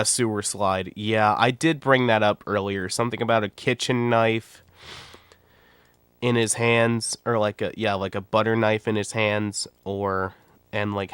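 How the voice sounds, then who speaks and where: A man's voice: on the low side, a bit bright, clean tone, no room sound. A man in his twenties with a nasal, stuffy voice from a cold, talking bluntly and rambling in casual livestream commentary. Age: 20s. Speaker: YouTuber and streamer.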